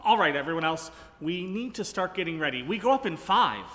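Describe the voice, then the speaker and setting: An actor playing an apologetic, earnest, halting theater director in a live radio comedy. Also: male voice, high voice, animated, pushed and bright. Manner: halting, placating. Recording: reverberant ballroom stage.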